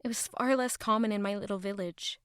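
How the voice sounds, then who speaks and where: An actress playing a gentle, concerned young female attendant to a queen, deferential and caring, in an audio drama. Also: mid-range voice, brisk, smooth, no room sound, clean studio sound, natural voice.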